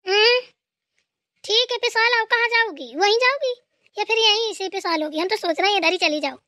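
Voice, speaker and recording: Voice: very high-pitched, moderate pace, pushed and bright. A performer voicing an aching old village mother-in-law in a Hindi cartoon, bossy but affectionate. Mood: agreeable. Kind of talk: Hindi family cartoon dialogue.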